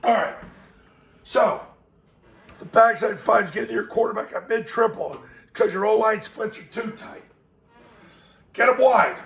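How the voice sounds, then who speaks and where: A man with a raspy voice, hoarse from a cold, giving blunt, emphatic football coaching tips. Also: high voice, expressive, measured pace, pauses often, reverberant.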